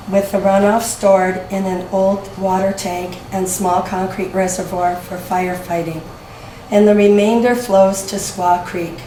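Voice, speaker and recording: Hoarse older woman reading at a lectern. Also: mid-range voice, dark tone, slight background noise. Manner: measured, reads at a lectern, clears throat often. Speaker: community speaker. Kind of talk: prepared talk read from notes. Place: library meeting room with crowd. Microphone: far camera, no microphone. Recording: roomy, distant camera recording.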